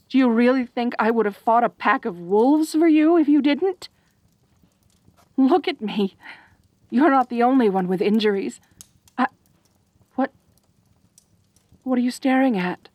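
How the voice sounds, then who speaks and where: A woman performs a centaur girl for an ASMR roleplay drama, lonely and possessive but tender underneath. Her delivery is unhurried, hesitant and earnest, with stammered, pleading phrases. The sound is dry and intimate, with no room around the voice. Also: on the high side, a little mellow, no room sound. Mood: earnest, wounded. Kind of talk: roleplay ASMR audio drama, intimate one-sided dialogue.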